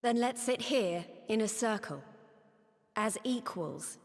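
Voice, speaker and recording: Earnest young rebel leader, reverberant hall. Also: female voice, a little high, lively intonation, slow. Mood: earnest, hopeful. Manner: earnest, clear public address. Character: earnest young female resistance leader, hopeful and plainspoken. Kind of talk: dramatic video game cutscene acting. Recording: long reverberant hall echo.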